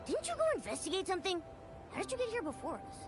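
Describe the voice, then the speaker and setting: A woman voicing a small, blunt and excitable cheeky companion in a video game. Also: very high voice, fast, music in the background. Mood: eager.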